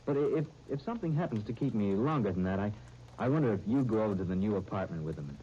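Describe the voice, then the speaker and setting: Old muffled 1930s radio play, actor doing earnest young american guy, excitable, stammers a bit, pitch jumps around. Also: lightly acted. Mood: casual, asking. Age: young adult. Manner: halting, stammering over words. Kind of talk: 1930s radio drama acting. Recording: muffled, noisy 1939 radio broadcast recording.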